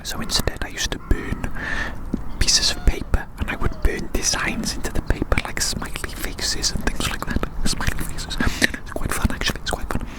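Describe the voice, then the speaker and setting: An ASMR host whispering a playful roleplay monologue as a quirky, inquisitive scientist, softly repeating phrases. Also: normal speed, poor audio. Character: quirky, inquisitive scientist examining a little creature.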